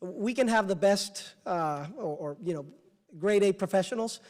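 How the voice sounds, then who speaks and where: A man testifying as governor at a hearing, answering questions in a halting way with many fillers and restarts. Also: high voice. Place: congressional hearing room.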